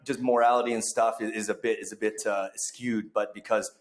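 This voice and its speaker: A man's voice: fairly low pitch, flat delivery, brisk. A man hosting a podcast in casual chat, rambling with many fillers and restarts. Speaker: podcast host. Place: bar under construction. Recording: echoey room.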